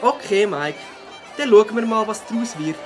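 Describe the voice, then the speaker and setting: A sensible young cartoon beaver voiced by a woman speaks with lively intonation. He is a sceptical, level-headed boy, with soft music behind him. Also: medium pitch, normal speed, crowd noise, natural voice. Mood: doubtful. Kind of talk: cartoon character acting.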